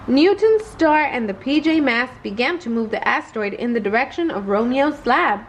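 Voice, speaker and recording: A woman's voice: high-pitched, expressive, normal speed, slight background noise, rough recording. A woman reading a children's bedtime story aloud, expressively and carefully. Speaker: storybook reader.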